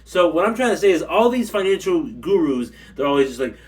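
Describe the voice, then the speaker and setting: A man's voice: fairly high pitch, big pitch swings, fairly quick, a little hiss or hum. A man ranting casually to his camera at home, rambling and blunt, a little stuffy from allergies. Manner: rambling, blunt, repeats himself. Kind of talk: casual rant. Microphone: camera mic.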